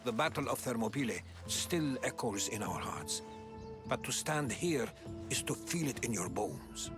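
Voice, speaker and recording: A man's voice: mid-range voice, lively intonation, music in the background, natural voice. Earnest, learned ancient Greek man, played by a man. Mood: solemn, reverent. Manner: grave, measured, storytelling delivery. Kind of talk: dramatic video game acting.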